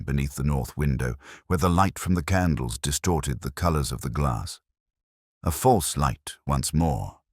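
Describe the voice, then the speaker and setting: A man with an extremely low voice narrates a literary detective tale. His delivery is measured and brooding, yet the pitch moves in lively swings. The recording is dry, with no room sound. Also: dry recording. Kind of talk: scripted first-person literary narration.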